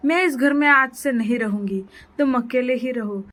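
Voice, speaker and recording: A woman voicing a quarrelsome female crow wife who scolds her husband and complains loudly. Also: sits high, moderate pace. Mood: scolding.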